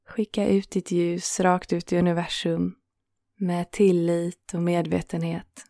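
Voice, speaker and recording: A woman guiding a yoga nidra relaxation in a calm, soothing manner, leaving gentle pauses between phrases. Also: on the low side, creaky, dry recording, clean studio sound. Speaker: meditation guide and host.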